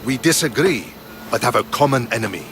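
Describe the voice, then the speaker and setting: A man playing a principled, measured Spartan soldier in dramatic video game dialogue. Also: mid-range voice, expressive, noisy background, close to an everyday voice.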